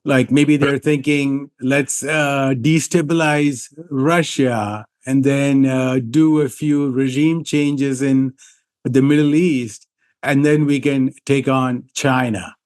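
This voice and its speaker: Male podcast host, slow unhurried delivery, halting with fillers. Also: medium pitch, steady tone, darkish tone, clean tone. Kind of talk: interview hosting.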